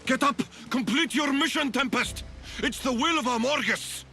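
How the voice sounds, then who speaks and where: A man's voice: very high voice, normal speed, pushed and bright, faint music behind, full character voice. An actor playing a fanatical soldier in a video game, urgent and commanding as he barks orders. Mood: fierce, commanding. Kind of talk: dramatic video game acting.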